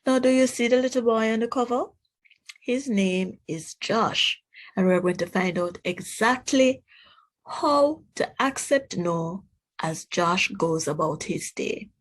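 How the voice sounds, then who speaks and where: A woman reading a children's storybook aloud in a deliberate, expressive way, speaking directly to young listeners. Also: medium pitch.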